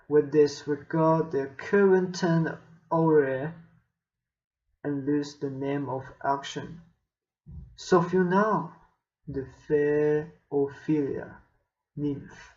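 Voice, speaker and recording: A man performing a melancholy, world-weary young prince in a Shakespearean soliloquy, with measured, pensive phrasing. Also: fairly high pitch, darkish tone, highs cut off. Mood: quiet sorrow.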